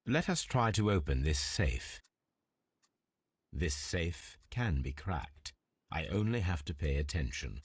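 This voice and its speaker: Analytical detective for a mystery game: very low, rough-edged voice, composed, formal and exact. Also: male voice, sing-song, no room sound, studio quality, full character voice. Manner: measured, precise, formal. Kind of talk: video game voice acting, deliberate deduction.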